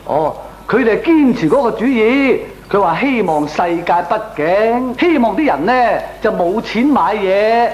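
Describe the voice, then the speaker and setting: Chatty preachy old uncle, hong kong cantonese, swoopy delivery, radio comedy, echoey room. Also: male voice, sits high, measured pace, hardly any pauses, lo-fi sound. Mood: indignant. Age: older. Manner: animated, expository, exclamatory. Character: talkative, indignant, moralising older uncle. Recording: roomy, echoing radio drama recording.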